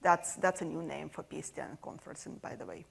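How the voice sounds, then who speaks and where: A woman presents a technical session in a soft, low voice with a noticeable gravelly fry. She explains steadily, pausing on frequent "uh" fillers as she walks through the material. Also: expressive. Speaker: conference presenter. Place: conference session room.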